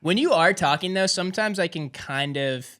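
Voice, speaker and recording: A man's voice: sits high, moderate pace, sharp tone. A young man interviewing in a casual, enthusiastic, rambling and informal way. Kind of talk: casual chat.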